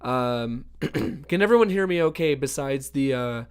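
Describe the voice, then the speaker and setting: A man hosting a birthday livestream in a casual, upbeat way, greeting chat viewers by name, his voice hoarse from allergies. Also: mid-range voice, lively intonation, unhurried. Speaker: livestream host.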